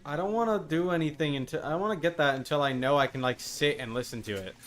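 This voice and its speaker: A man's voice: fairly high pitch, quick pace, fairly bright tone. A man streaming a video game with casual commentary, muttering asides to the characters as he plays. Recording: faint background game noise.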